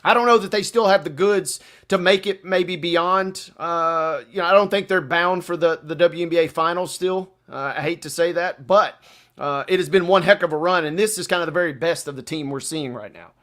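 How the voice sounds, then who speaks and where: A man with a high voice co-hosts a sports talk show. He talks casually and colloquially, breaking down the games with playful banter. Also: normal speed, fairly bright tone. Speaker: sports radio co-host.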